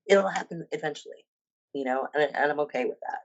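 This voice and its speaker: A woman's voice: sits low, a little fast, highs cut off. A woman in her fifties, a personal trainer and bodybuilder, talking casually as a podcast guest with many ums and restarts. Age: 50s.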